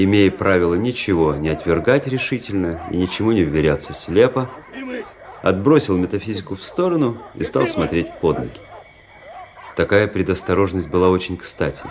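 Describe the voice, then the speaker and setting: An actor playing a weary, cynical young army officer who narrates a radio drama in a measured, introspective way. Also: male voice, deep voice, expressive, crowd noise. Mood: reflective, measured. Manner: introspective, world-weary, measured literary delivery. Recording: music under the voice.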